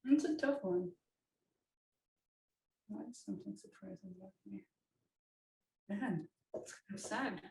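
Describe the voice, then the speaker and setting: A woman's voice: mid-range voice, takes it slowly, vocal fry, pauses often, dark tone. Chatty woman in an echoey room. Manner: chatty, laughing easily, thinking aloud. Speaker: researcher. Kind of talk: casual interview answers. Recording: echoey room, distant from the mic.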